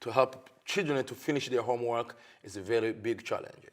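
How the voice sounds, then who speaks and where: A man from Africa, a pastor answering interview questions earnestly in halting, learned English. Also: medium pitch. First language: not English. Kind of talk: TV interview guest.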